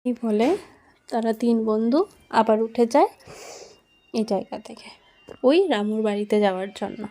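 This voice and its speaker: A woman's voice: on the high side, a little slow, long pauses, warm tone. A woman narrating a children's cartoon story as a storyteller.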